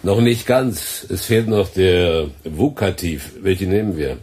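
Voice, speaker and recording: An actor playing a dry, sardonic radio technician with a husky voice and laconic delivery in a radio play. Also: male voice, sits low, a little slow, darkish tone, slight background noise. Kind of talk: German radio play acting.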